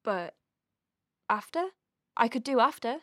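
A woman playing an earnest, gently wry space-clinic doctor in an audio drama, conversational, self-deprecating and a little hesitant. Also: on the high side, lively intonation, measured pace, no echo, clean studio sound. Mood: shy, eager.

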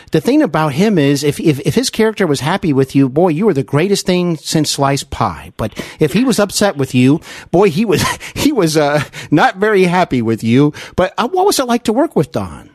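Husky male podcast host, lively pitch. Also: no echo, studio quality. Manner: halting, complimentary. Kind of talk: conversational interview.

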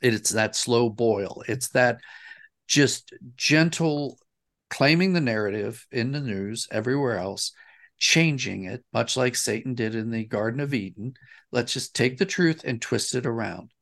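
Earnest male guest with creaky voice. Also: mid-range voice, a little slow, a bit bright. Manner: earnest, emphatic, explanatory. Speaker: interview guest, audio dramatist. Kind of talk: reflective interview talk.

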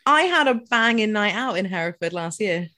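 A woman's voice: medium pitch, expressive, moderate pace, pushed and bright. A Scottish woman co-hosting a podcast, chatting casually and joking often. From Scotland. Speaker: podcast co-host.

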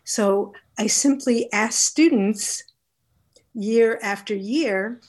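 A woman speaking as an interview guest who teaches philosophy, telling reflective stories in a measured, deliberate and articulate way. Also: fairly high pitch, darkish tone. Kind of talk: reflective interview conversation, storytelling.

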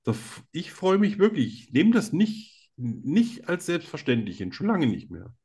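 Husky man in his fifties, joking. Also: fairly high pitch, wildly swooping pitch, a little slow, a little mellow. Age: 50s. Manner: joking, rambling, teasing. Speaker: podcast co-host. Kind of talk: casual chat.

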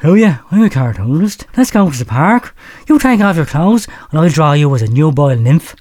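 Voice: medium pitch, very animated, brisk, darkish tone, a little hiss or hum. A radio co-host with crude, sarcastic delivery, bantering irreverently on a comic music show.

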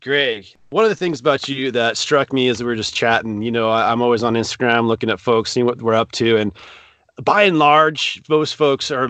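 A man's voice: mid-range voice, fairly bright tone, dry recording. A man from California hosting a podcast interview in a laid-back way, full of casual slang. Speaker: podcast host.

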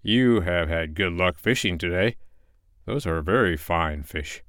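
A man's voice: low voice, measured pace, a bit bright, no echo, studio quality. A man voicing a big, trusting male bear in a bedtime story, speaking plainly and earnestly.

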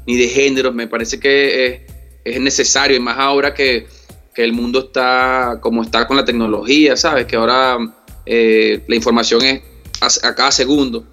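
A man's voice: medium pitch, flat delivery, normal speed, pushed and bright, faint music behind. A man from Venezuela, a reggae artist, answering interview questions in an earnest way, often repeating words and checking that he is understood.

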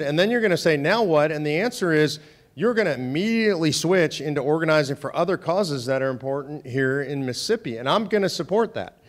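Loud, emphatic man addressing a room. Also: on the high side. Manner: conversational, emphatic, rhetorical "right?" tag questions. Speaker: organization president speaking to volunteers. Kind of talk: speech with audience questions. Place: meeting room with a live audience.

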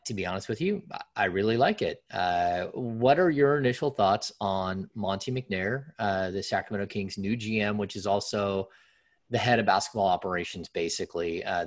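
A man hosting a sports podcast with a raspy voice, talking loosely and conversationally with lots of fillers and restarts. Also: deep voice. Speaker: podcast host. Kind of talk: casual sports podcast talk.

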